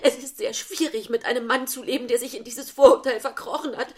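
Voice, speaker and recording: An actress playing a high-strung, idealistic wife in a stage-style audio drama, speaking in a bitter, cutting, indignant way. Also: female voice, fairly even intonation, a little fast, darkish tone, close to an everyday voice. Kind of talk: theatrical audio play acting.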